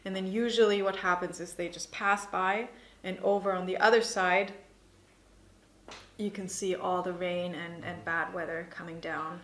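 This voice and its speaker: A woman in her thirties being interviewed as a winemaker, explaining informally and pausing to think as she goes. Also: fairly low pitch, fairly even intonation, fairly bright tone. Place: indoor tasting room with wooden table. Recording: roomy, echoing indoor sound.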